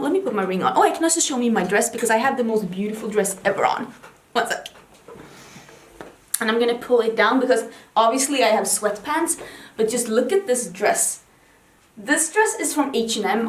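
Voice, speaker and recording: A young woman with a Swedish accent chats away at her makeup station, rambling with giggles and little self-teasing asides. Her voice sits fairly high, and the room around her rings with echo. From Sweden. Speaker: beauty vlogger. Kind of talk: casual get-ready-with-me chat. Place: home makeup station, indoors.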